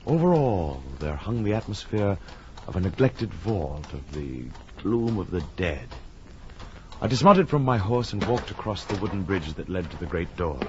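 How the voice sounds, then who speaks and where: An actor playing an uneasy, well-bred gentleman in a gothic horror radio drama of the 1940s, formal and dramatic. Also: sits low, big pitch swings, normal speed. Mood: dread, brooding. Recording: muffled, faintly noisy old radio recording.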